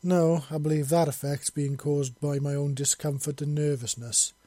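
Man playing awkward young-hearted soldier, male, obedient, hesitant, deferential, audiobook character, mellow husky voice. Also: on the high side, steady tone, moderate pace, no echo, lightly acted. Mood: nervous, truthful.

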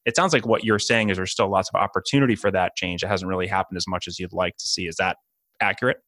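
A man's voice: deep voice, steady tone, fast, fairly bright tone, no echo, studio quality. A man hosting an education podcast, a former Spanish teacher, talking in a friendly, hesitant way with frequent restarts. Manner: friendly, hesitant, repeats words when starting sentences. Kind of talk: conversational podcast interview.

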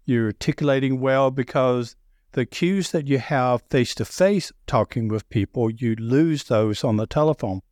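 A middle-aged man with a deep Southern accent, talking loudly and telling sales stories with many restarts. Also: normal speed, no echo, clean studio sound. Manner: loud, animated storyteller with frequent restarts. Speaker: sales podcast guest. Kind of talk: casual conversation.

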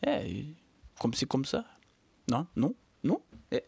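A woman's voice: a bass voice, wildly swooping pitch, vocal fry, dark tone, breathy edge, dry recording, voice pushed down, heavily acted. A woman doing a shrugging Frenchman. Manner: halting, mixing French phrases. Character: shrugging middle-aged Frenchman, philosophical.

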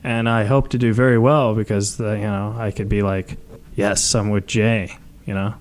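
A man hosting a casual live podcast, talking as a comedian in a rambling, halting, self-deprecating way. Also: on the low side, level tone, slight background noise. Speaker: podcast host and stand-up comedian.

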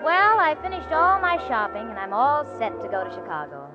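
A woman's voice: a little high, sing-song, a little fast. An actress playing a sensible, wry young woman who is roommate and narrator in a 1940s radio comedy. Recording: dull old radio recording, music behind.

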